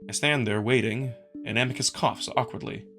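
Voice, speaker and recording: A man's voice: mid-range voice, normal speed, a bit bright, soft background music. A man reading scripted first-person narration from a visual novel as a story reader.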